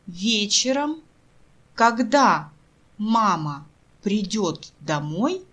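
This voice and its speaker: A woman reading scripted example sentences as a language teacher, enunciating carefully phrase by phrase with deliberate pauses. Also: medium pitch, big pitch swings, a bit bright. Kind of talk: scripted language drill, each sentence read then repeated slowly.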